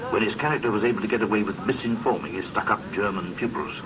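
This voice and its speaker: A man's voice: on the low side, fast, heavy background noise. A man reading a scripted biographical documentary narration, recorded on an old cassette. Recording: muffled distant old cassette copy, music behind. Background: music.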